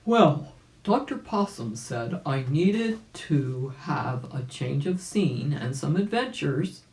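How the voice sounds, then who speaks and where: Woman reading as polite old rabbit, animated intonation. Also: low-pitched, slow, echoey room, exaggerated voice, rough recording. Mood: hopeful musing. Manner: mild, curious, trusting. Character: puzzled, polite old gentleman rabbit with rheumatism.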